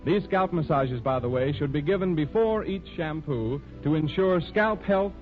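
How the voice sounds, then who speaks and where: High-voiced 1930s radio announcer, a man, muffled. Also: normal speed, soft background music.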